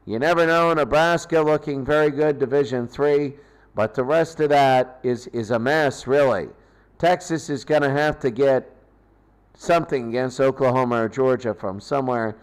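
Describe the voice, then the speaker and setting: A man hosting an unscripted sports recap, talking in halting short phrases as he thinks aloud. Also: a little high, clean tone. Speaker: host recapping football tournament results.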